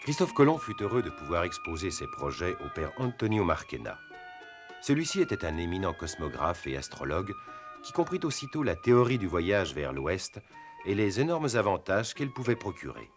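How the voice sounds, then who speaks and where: A man's voice: low voice, huge pitch swings, moderate pace. A man reading scripted narration for a historical cartoon. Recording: music behind the voice.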